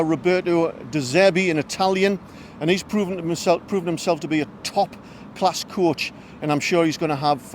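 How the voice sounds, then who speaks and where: A man's voice: on the high side, slight background noise. A man interviewing football fans outdoors, talking tactics in an eager, pundit-like way. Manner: eager, punditry-style questions.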